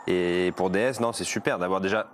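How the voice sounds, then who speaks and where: A low-voiced man breaks down the racing as a live pundit. His speech is relaxed but halting, full of repeated words. Noise from the live broadcast is clearly audible behind him. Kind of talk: live race analysis. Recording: noisy live broadcast feed.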